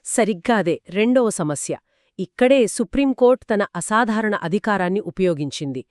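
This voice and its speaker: A woman speaks as an explainer in a two-person discussion, with an assured manner. Her pitch is average for a woman but moves around in a lively way. The sound is very dry, with no room echo. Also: normal speed. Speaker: explainer co-host.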